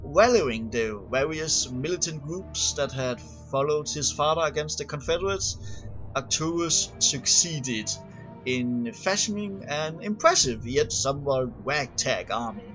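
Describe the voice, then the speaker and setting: A man with a slightly high voice narrates from a written text. His reading is halting, with words repeated and restarted. The recording sounds dull and homemade, with music playing faintly behind him. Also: lively intonation, fairly bright tone. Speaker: amateur reader of game lore. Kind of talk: scripted narration, reading a game manual aloud. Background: background music.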